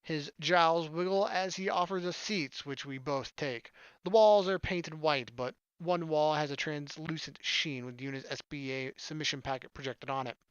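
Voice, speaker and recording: A man's voice: a little high, moderate pace, a bit bright, smooth, no room sound, dull audio. A man hosting a visual novel playthrough, reading text aloud and adding casual, joking asides. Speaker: gaming video host.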